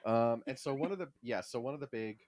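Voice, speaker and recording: A man co-hosting a podcast, explaining things casually and haltingly as he thinks aloud. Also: medium pitch, darkish tone, no room sound. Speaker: podcast co-host.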